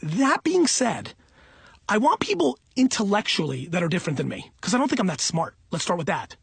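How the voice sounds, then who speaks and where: A man's voice: high voice, big pitch swings, a little fast, gravelly, slightly rough, no room sound. A middle-aged man talking off the cuff for an audiobook in an emphatic, self-assured, blunt and conversational way. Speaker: entrepreneur and company CEO reading own audiobook.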